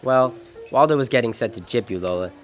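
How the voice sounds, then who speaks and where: An actor playing a world-weary, decent American private detective in an old-time radio drama, wry and clipped. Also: male voice, medium pitch, fairly quick, faint music behind, natural voice. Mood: gentle, awkward. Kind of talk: 1940s detective radio drama, first-person narration and dialogue.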